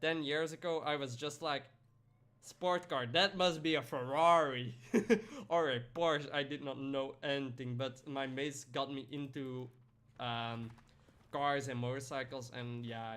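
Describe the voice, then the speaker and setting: A man's voice: medium pitch, fairly even intonation, unhurried, a bit bright, clean tone. A young man chatting casually on a live stream while gaming, rambling through stories and answering chat by name.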